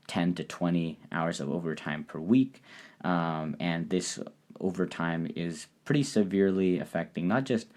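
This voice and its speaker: A man hosting a solo podcast, talking through a topic in a measured, explanatory way. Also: low voice, a little mellow. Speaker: podcast host. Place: lives in Japan.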